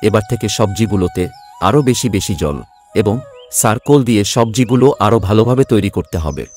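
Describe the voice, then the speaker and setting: A man voices a poor village farmer in a cartoon, with a lowish pitch. He is an earnest, good-natured family man, heard over music. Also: fairly quick, a little mellow, close to an everyday voice. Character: hardworking poor vegetable farmer, doting husband and father. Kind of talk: Bengali cartoon voice acting.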